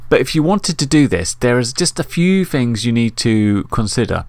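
A man's voice: mid-range voice, very animated, normal speed, dry recording. A middle-aged man narrating a casual tutorial in an informal, explanatory, conversational way. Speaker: host of an RC radio tutorial.

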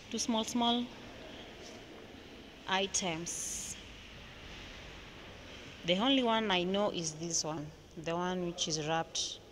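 Slow-talking Kenyan woman vlogger. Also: on the low side, fairly bright tone, slight background noise. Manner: slow, chatty, pointing out exhibits. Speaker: vlogger touring a museum. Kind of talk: casual walking tour commentary. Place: indoor museum halls. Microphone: handheld phone camera.

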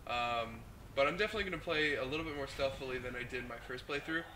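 A young man with the flu commentates on a game he is playing, chatting casually, swearing and reacting out loud to what happens on screen. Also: medium pitch, quick pace. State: sick with the flu, hoarse. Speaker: gaming host. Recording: noisy home mic recording.

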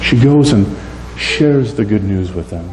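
A man in his forties preaching a sermon in a church, animated and gesturing, moving between loud and soft. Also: lowish voice, measured pace. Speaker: pastor. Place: church sanctuary, indoors. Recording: echoey church room, faint hum.

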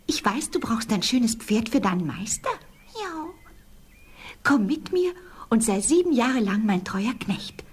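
A woman's voice: a little high, sing-song, a little slow, slight background noise. A woman playing a small, mysterious, commanding female cat who meows between phrases in a fairy tale audio play. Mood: promising.

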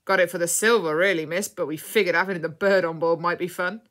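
Woman as storybook pirate man, loud, blustering, bossy, pleased with himself. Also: fairly low pitch, fairly even intonation, a little fast, lightly acted. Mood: casual. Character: brash, self-satisfied male pirate, rough and bossy.

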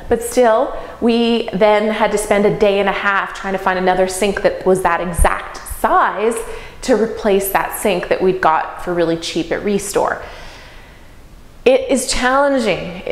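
A woman in her thirties, talking to camera in a casual, upbeat vlog, standing in an empty bedroom. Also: medium pitch. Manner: upbeat, chatty, practical. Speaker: real estate investor vlogger. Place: empty bare-walled bedroom indoors. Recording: echoey empty room.

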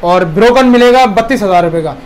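A Pakistani man with a strikingly high voice talks at a quick clip. He sounds like a shopkeeper pitching his stock on camera, casual and eager, circling back over prices and specs. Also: animated. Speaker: phone shop retailer. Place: small phone shop, indoors. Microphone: clip-on lapel mic.

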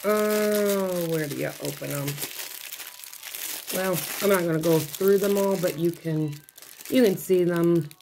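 Hoarse lady chatting slow at her kitchen table, lowish voice, a bit of hiss behind. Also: darkish tone, rough recording. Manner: rambling, chatty, self-interrupting. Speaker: craft vlogger. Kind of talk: casual unboxing chat. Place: home dining room, seated at a table.

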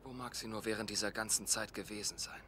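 A man playing a grieving, dutiful young knight in an audio drama, speaking in an earnest, measured, formal way. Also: lowish voice, fairly even intonation, sharp tone, a little hiss or hum. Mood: earnest, concerned. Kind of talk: German audio drama acting. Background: music.

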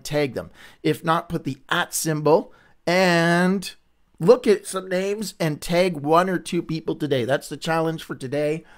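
A man hosting a casual livestream Bible reading, chatty and upbeat, greeting viewers by name, with a runny, stuffy nose. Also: a little high.

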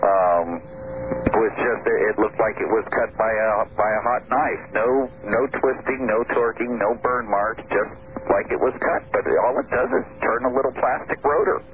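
A man calling in to a radio show, telling a story in a halting way with many pauses and fillers. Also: on the low side. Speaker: caller. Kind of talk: radio call-in talk. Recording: phone-line call with hiss.

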